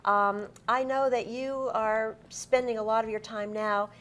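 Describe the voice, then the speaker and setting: A woman's voice: fairly high pitch, steady tone, unhurried, a bit bright. A middle-aged woman hosting a live on-stage interview in an effusive, encouraging manner. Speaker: interviewer, host. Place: TV-style desk set before a live audience. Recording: clear background noise, live event.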